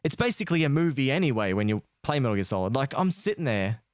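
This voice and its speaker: A man's voice: mid-range voice, big pitch swings, fast talker, no room sound, clean studio sound. An Australian man co-hosting a podcast, chatting about games in a laid-back, slangy way. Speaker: podcast co-host. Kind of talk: casual gaming chat.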